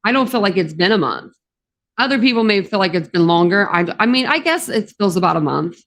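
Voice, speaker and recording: A woman's voice: mid-range voice, sing-song, brisk, fairly bright tone. A woman with a Southern drawl, chatting casually on a livestream. Her voice is a bit scratchy from the morning, and she rambles with plenty of ums. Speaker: true crime YouTuber.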